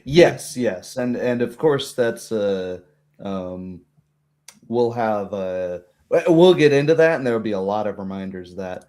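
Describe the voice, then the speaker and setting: A man's voice: lowish voice, expressive, measured pace, a little mellow. A man hosting a streamed tabletop roleplaying game as game master, chatting casually in a rambling, hesitant, good-humored way and laughing easily. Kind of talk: casual livestream chat.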